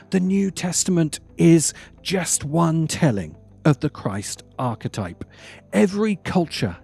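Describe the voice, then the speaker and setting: A man narrating a scripted video essay with deliberate, emphatic phrasing and dramatic pauses. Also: mid-range voice, mellow.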